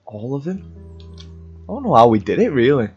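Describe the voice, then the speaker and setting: A man's voice: mid-range voice, sing-song, normal speed, breathy edge. A young man improvising casually in character in a video game roleplay. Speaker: gaming roleplayer. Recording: dull lo-fi game mic, music behind.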